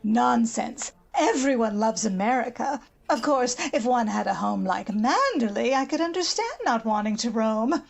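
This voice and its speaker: A woman's voice: a little high, animated, normal speed, creaky, hardly any pauses. A woman playing a haughty, gossipy older society lady with an affected manner in a radio drama. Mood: gushing, chatty. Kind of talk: old-time radio drama acting.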